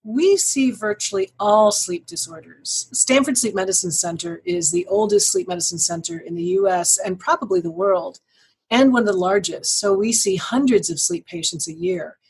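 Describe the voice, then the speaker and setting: Woman, husky tone, articulate and measured, clinical expert guest. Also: mid-range voice, very animated, darkish tone, poor audio. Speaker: sleep medicine clinician guest. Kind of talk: explanatory interview answers.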